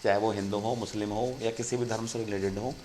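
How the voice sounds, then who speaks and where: A man's voice: lowish voice, fairly even intonation, fast talker, mellow, a little hiss or hum. A man hosting an online channel, explaining in a casual chatty monologue and often calling viewers "friend". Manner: conversational, keeps saying "friend" to viewers. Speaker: YouTube channel host.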